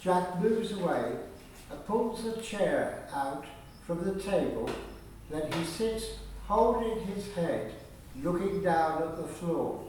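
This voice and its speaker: Man narrating a radio play, slow and measured, echoey, away from the mic. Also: high voice, expressive, a little mellow, a little hiss or hum. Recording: very echoey room, distant mic.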